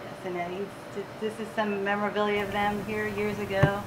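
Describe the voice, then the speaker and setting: A middle-aged woman answers casually as an interviewed restaurant owner. Her voice is medium in pitch, picked up by a camera a few steps away in a dining room with clear background noise. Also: flat delivery, brisk.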